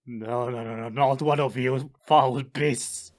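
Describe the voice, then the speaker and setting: A man's voice: mid-range voice, fairly even intonation, slow pace, close to an everyday voice. A man voicing a fussy, exasperated spirit hunter in a dramatic, muttering manner for a fan dub.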